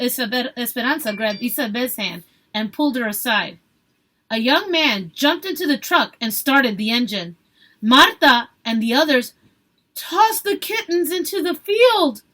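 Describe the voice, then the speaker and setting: High, bright-voiced woman reading aloud. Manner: expressive, pausing to question listeners. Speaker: teacher reading a novel aloud. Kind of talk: read-aloud storytelling with asides to pupils.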